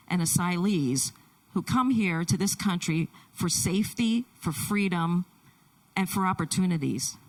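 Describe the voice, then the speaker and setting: Woman pastor heard from a distance. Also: mid-range voice. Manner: speaks in short, deliberate phrases. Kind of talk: introducing and interviewing a guest at a church service. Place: church sanctuary.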